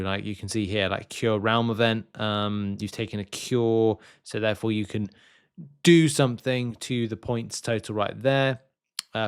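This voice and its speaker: A man's voice: fairly low pitch, clean tone. Man casually talking through gaming news. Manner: hesitant, rambling, thinking aloud. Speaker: gaming video host. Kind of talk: casual game news commentary, reading announcements and musing.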